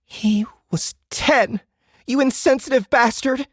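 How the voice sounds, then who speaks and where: A man's voice: very high voice, slow, fairly bright tone, no echo, studio quality, pitched above the performer's own voice, exaggerated voice. A man voicing a worried, emotional middle-aged mother in a sci-fi audiobook, pleading and intense. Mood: angry, accusing.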